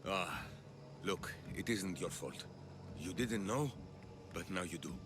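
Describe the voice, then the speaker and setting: A man's voice: deep voice, lively intonation, slow pace, music in the background. A man playing a grudging, scornful Athenian citizen in debt in a video game cutscene. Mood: resigned.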